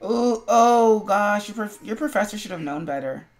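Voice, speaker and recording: A woman co-hosting a live stream in casual chat, expressive and quick to react with interjections. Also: mid-range voice, a little hiss or hum. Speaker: stream co-host.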